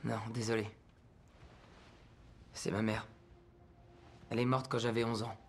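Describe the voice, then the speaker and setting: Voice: medium pitch, level tone, a little slow, a little hiss or hum, natural voice. A performer playing a skeptical, exasperated young man with a dry, reluctant manner in a French film fan dub. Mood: subdued. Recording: music behind the voice.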